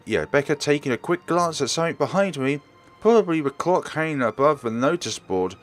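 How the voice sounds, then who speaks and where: A man doing a let's play, reading a visual novel aloud and stumbling over words before correcting himself. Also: on the low side. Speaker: let's player.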